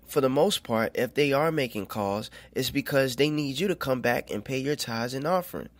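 A man's voice: mid-range voice, no echo. Rambling, preachy man on a podcast. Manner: rambling, conversational, preachy, with small laughs. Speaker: podcast host. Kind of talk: casual spoken religious commentary.

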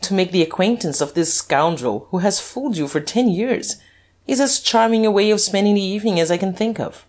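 A reader voicing a haughty duke with lively, swooping pitch. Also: moderate pace, breathy edge. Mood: mocking. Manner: haughty, icy, teasing. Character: haughty, mocking, cool aristocratic duke.

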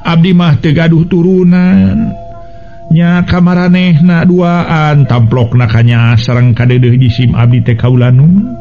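A man's voice: fairly high pitch, steady tone, measured pace, pitched above the performer's own voice. A man voicing a devoted queen in a radio story, pleading with her king in a deferential, courtly manner. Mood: earnest. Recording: dull lo-fi radio recording with music.

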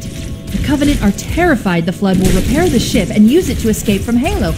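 Assertive high-voiced AI companion. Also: female voice. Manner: brisk, assertive, articulate. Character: sharp-witted female AI companion, confident and sardonic. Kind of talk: video game cutscene acting.

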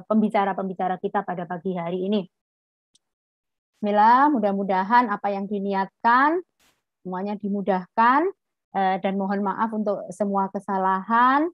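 A middle-aged woman, a doctor, giving a formal opening address over a video call, measured and pausing often. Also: mid-range voice, no echo. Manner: measured, many filler "eh" pauses. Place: indoor room. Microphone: video call webcam. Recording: dull, dry video call audio.

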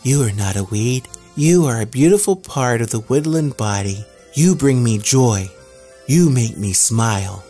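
A man voicing a small, eager young male bluebird with a chirpy, excitable way of talking in a storybook. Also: medium pitch, measured pace, soft background music, close to an everyday voice. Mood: gentle.

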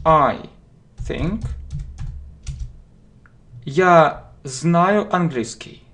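A man teaching an English lesson, dictating each phrase slowly word by word and repeating it, at a desk in his home. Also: fairly high pitch, animated, a bit bright. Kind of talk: slow dictation-style language lesson. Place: home room, indoors. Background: keyboard typing and clicks.

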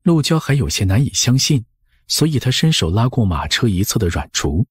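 A man's voice: mid-range voice, big pitch swings, fairly quick, breathy edge, dry recording, studio quality. A man narrating an audiobook story from a script.

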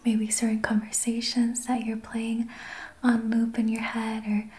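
Female ASMR Reiki host: breathy whisper, soft mellow tone, gentle and soothing, very even delivery. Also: fairly high pitch. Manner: slow, gentle, soothing guidance. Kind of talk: soft-spoken whispered ASMR guided healing session.

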